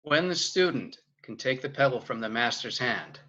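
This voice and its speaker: An actor playing a wise, solemn kung fu master with measured delivery in a sitcom table read. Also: male voice, medium pitch, animated, pushed and bright, dull audio. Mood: solemn, mysterious. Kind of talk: sitcom table read over video call.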